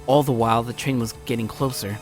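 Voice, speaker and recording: Casting: male let's play narrator, medium pitch, dramatic amateur read with stumbles, background music. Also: fairly even intonation, fast. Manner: theatrical, often stumbles over the text. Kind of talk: dramatic read-aloud narration of visual novel. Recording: music behind, low-quality home recording.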